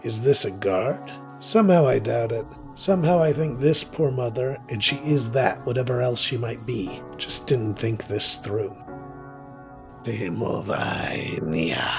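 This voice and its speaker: Casting: male actor as cynical, weary witch narrator for horror audio thriller; wry, theatrical, medium pitch, over a music bed. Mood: wary, brooding, then ominous. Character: weary, cynical male witch hunting through a haunted house. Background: music behind the voice.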